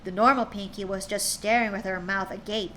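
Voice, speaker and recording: A woman's voice: medium pitch, fairly even intonation, a little fast, bright, forceful tone, slight background noise. Chatty woman streamer reading aloud. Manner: chatty, stumbling over words while reading.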